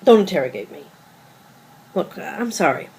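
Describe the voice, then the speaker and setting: A woman playing an evasive, defensive girlfriend in a dramatic table read, with a hesitant, halting delivery. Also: on the low side, very animated, darkish tone, breathy edge, full character voice. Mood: defensive, pleading. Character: evasive, defensive girlfriend, secretive would-be writer. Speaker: actor in a script table read.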